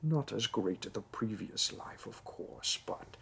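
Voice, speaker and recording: Man voicing a low, gravelly, condescending demon bureaucrat. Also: animated, a little slow, a bit bright, a little hiss or hum, exaggerated voice. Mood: disappointed. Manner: dry, condescending, mock-sympathetic. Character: bureaucratic male demon interviewer, disappointed, politely wicked. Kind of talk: roleplay audio drama, one-sided interview.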